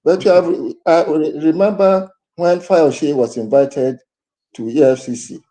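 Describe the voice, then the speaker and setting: A man giving news commentary as a panelist, halting and restarting his words. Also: a little high, steady tone, mellow.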